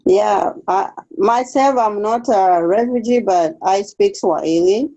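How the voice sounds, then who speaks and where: Slow, soft-toned Kenyan woman. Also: mid-range voice. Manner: careful, hesitant, with pauses and restarts. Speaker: case aide, guest speaker. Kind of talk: online seminar interview. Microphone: video call. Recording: dull lo-fi video call audio.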